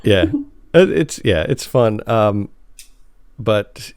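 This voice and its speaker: A man's voice: lowish voice, expressive, very slow, darkish tone, clear, resonant tone, no room sound. A man hosting a podcast in a casual, informal and slightly rambling chat style. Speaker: podcast host.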